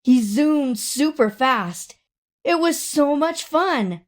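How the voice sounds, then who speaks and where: A woman reading a children's story aloud in an expressive, dramatic way, drawing out words for emphasis. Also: high-pitched. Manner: dramatic, with drawn-out emphasis and acted sound words. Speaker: storybook reader.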